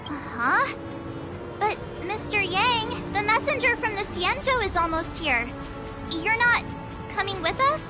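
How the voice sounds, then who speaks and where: Girlish game sidekick, very high, lively swoops, earnest, loud music. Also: a little slow. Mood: eager, flustered. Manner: animated, girlish. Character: bright, youthful, earnest girl companion. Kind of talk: scripted video game voice acting.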